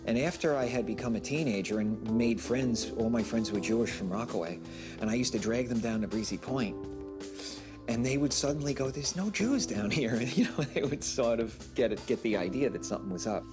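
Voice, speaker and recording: A man's voice: medium pitch, animated, brisk. An older man from New York recalling his youth in a conversational documentary interview. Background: instrumental music.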